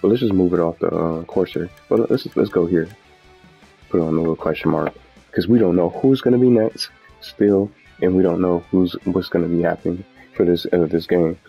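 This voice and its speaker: A man's voice: sits low, steady tone, normal speed, vocal fry, dark tone, slightly rough. A man hosting a gaming video with casual, unscripted commentary, rambling and often repeating and correcting himself. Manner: rambling, repetitive, self-correcting. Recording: muffled audio with background music.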